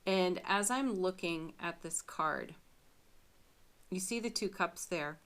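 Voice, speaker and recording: A woman giving a chatty daily tarot reading, musing aloud with frequent soft laughs and a slightly scratchy voice. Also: lowish voice, takes it slowly, clear, resonant tone. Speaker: tarot reader.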